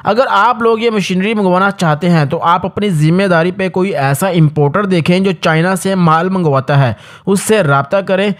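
A man with a Pakistani accent narrates an explainer as its host. His voice is high for a man. He speaks straight to the viewer in an instructive, scripted voice-over style. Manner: addresses viewers directly, instructive. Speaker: video host.

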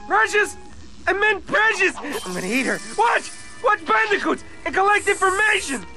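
An actor voicing a manic, whiny little cyborg henchman in a cartoon game, shrill, scratchy, melodramatic and childish. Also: big pitch swings, normal speed, bright, forceful tone, soft background music, heavily acted. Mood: gloating. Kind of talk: cartoon video game acting.

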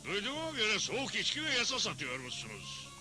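Menacing, animated cartoon outlaw. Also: male voice, sits high, fast talker, soft background music, full character voice. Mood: menacing, arrogant. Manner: curt, menacing. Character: menacing, arrogant Wild West outlaw, adult man. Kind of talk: dubbed cartoon acting.

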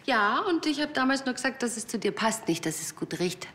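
A woman's voice: on the high side, animated, brisk, a bit bright, slight background noise. Dry female pathologist. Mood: teasing. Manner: dry, matter-of-fact. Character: cool, matter-of-fact female pathologist with dry wit.

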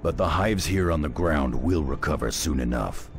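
An actor playing a grim, battle-weary veteran space marine in a video game, with a very deep, gravelly voice. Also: male voice, expressive, normal speed. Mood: grim resolve. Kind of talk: dramatic video game acting. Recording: music behind the voice.

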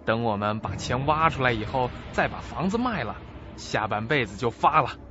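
A man's voice: mid-range voice, animated, moderate pace, lo-fi sound, lightly acted. A man playing a greedy, crooked lawyer in a suspense radio play, with an oily, ingratiating manner. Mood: gloating. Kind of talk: audio suspense drama acting. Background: music.

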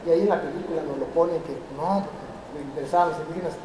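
A man in his fifties from Mexico giving a lively public lecture, questioning his audience and pressing his points. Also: a little high, rapid-fire, background noise. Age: 50s. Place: large roofed hall with metal roof beams. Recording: echoey hall, camera at a distance.

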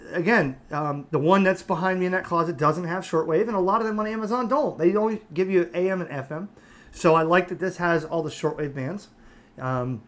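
A man giving a casual, unscripted product review, talking conversationally and haltingly as he thinks aloud. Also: a little high, fairly bright tone. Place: indoors at a table.

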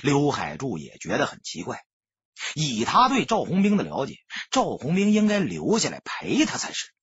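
A man narrates an audiobook in a very husky voice with sweeping, animated delivery. The recording is dry and slightly dull. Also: mid-range voice, normal speed, dry recording. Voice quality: husky, raspy. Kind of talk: dramatic scripted novel narration.

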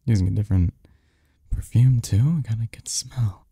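A man acts out a lovestruck young man in an intimate ASMR romance. His voice is lowish, very dark and soft, with a husky grain. He murmurs haltingly, stammering through his confession, close and dry. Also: dry recording. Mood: tender, admiring. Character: lovestruck young male research assistant confessing to his best friend. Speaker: audio roleplay performer.

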